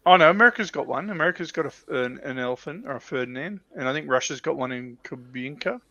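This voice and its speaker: A man with a mid-pitched voice talks informally and keenly, circling back to restart phrases. The recording is dry and a little dull, like modest livestream audio. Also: expressive, fast talker, pushed and bright, no echo. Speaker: livestream panellist. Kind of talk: casual enthusiast chat.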